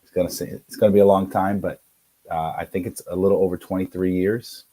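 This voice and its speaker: A man's voice: deep voice, flat delivery, fast, mellow. A man in his forties, a native Spanish speaker, telling his story as a guest on a video call, earnest and full of fillers. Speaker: guest, career center director. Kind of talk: casual conversation.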